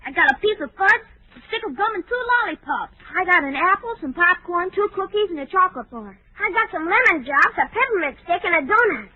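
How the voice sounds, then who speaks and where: An actor playing an excitable, eager young child out trick-or-treating in a 1940s radio comedy, boasting in kid slang. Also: extremely high pitch, fast talker, hardly any pauses, no echo. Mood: chatty, eager. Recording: tinny narrow-band old radio recording.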